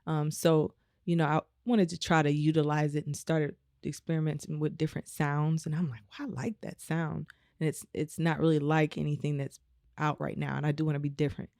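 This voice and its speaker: A woman from Omaha with a naturally raspy voice, talking casually as a guest in a podcast interview with a laid-back flow. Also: deep voice, level tone, brisk, darkish tone, no room sound, clean studio sound. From Omaha, United States. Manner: laid-back, frequent "you know" and laughs. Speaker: guest musician.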